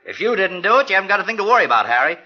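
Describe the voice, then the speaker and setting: Sharp-voiced, taunting newspaper reporter. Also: male voice, sits high, sing-song, very fast, soft background music, heavily acted. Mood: menacing, smug. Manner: mocking, insinuating, chuckling. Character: sly, taunting male newspaper reporter, relishing his scoop. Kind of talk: 1940s radio drama acting. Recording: dull, lo-fi vintage radio recording.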